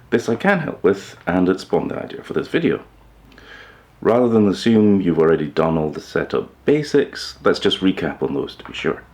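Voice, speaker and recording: Very deep, gravelly male presenter. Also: a little mellow. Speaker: host of a hi-fi upgrade video channel. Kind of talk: informal explainer to camera.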